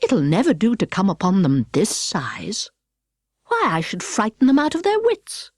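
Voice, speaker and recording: A woman's voice: medium pitch, wildly swooping pitch, moderate pace, no room sound, studio quality. A woman voicing an earnest, polite and puzzled little girl in a storybook, speaking properly. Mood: musing. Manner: proper, earnest, hesitant when challenged.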